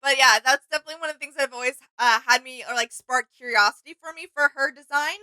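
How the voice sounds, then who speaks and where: A woman's voice: sits high, quick pace, sharp tone, clear, resonant tone, no echo. A woman co-hosting a reaction video in casual, conversational chat.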